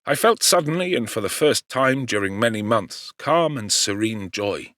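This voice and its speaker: A man reading literary narration as an audiobook actor, in an expressive, measured and theatrical manner. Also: fairly low pitch, no room sound, studio quality.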